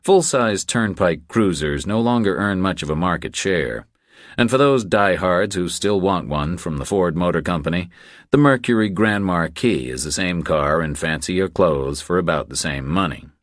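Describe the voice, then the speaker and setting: A man's voice: low-pitched, no echo, clean studio sound. A man reading a thriller audiobook as its narrator, in a scripted storytelling style.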